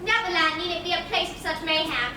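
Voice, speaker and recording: A woman's voice: extremely high pitch, brisk, sharp tone, full character voice. Stage role: protective, urgent young girl woman performing, distant and strongly echoing in a theatre. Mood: earnest. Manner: urgent, emphatic projected delivery. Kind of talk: live stage play acting. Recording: echoey theatre, distant mics, noisy room.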